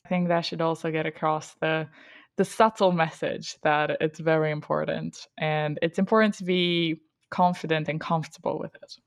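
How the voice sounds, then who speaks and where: A woman hosts an interview podcast in a dry, close-sounding recording. Her voice is a little low for a woman. She is friendly, laughs easily and keeps asking follow-up questions. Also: steady tone, normal speed, gravelly, dry recording. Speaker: podcast host.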